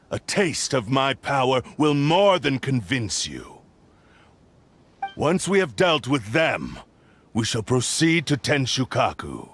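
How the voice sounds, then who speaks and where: Possessed cursed sword spirit, gravelly, very slow, menacing, expressive pitch. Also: male voice, a little hiss or hum, heavily acted. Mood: cold. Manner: cold, slow and menacing, with bursts of anger. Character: proud, obsessive male cursed blade spirit. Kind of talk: video game voice acting.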